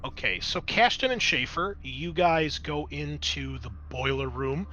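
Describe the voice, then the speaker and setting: A man's voice: a little high, a little slow, sharp tone, poor audio. A man narrating a tabletop horror game as game master, improvising in a conversational, explanatory way.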